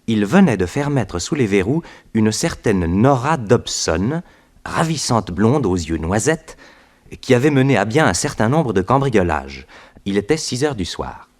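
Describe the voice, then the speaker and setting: A man's voice: lowish voice, sing-song, measured pace. A man narrating a 1960s French radio drama from a script.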